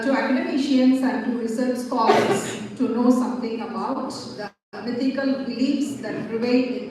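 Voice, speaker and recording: Woman with a high voice, academic presenter, picked up from afar in a very echoey hall. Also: slight background noise. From Tamil Nadu, India. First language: Tamil. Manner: formal, measured lecturing with pauses. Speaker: conference speaker. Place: large seminar hall. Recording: echoey hall heard from far off.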